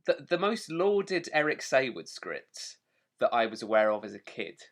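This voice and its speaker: A man's voice: medium pitch, big pitch swings, normal speed. A man co-hosting a podcast, chatting casually with a wry, sweary manner. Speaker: podcast co-host. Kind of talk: casual chat.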